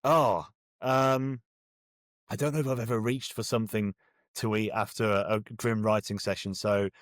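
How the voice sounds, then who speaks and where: A man's voice: on the low side, fairly even intonation, moderate pace, no echo, clean studio sound. A man with a British accent, speaking as a guest author in a casual interview, jokey, self-deprecating and rambling a little.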